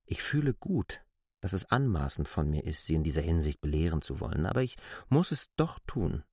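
An actor playing a stubborn, self-assured outsider in a radio drama, reasoning articulately and insistently. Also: male voice, extremely low pitch, sing-song, a little fast, a little mellow, breathy edge, no room sound, clean studio sound, exaggerated voice. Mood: patronising, insistent. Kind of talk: literary radio drama acting.

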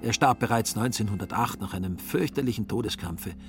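A man's voice: on the low side, a little fast, soft background music. A man narrating a literary radio drama as a scripted storyteller.

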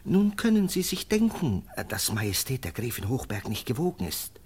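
A man playing a stern, sceptical police lieutenant in a radio drama, brisk and authoritative. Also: mid-range voice, big pitch swings, husky. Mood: confidential, scheming. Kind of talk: German radio play acting.

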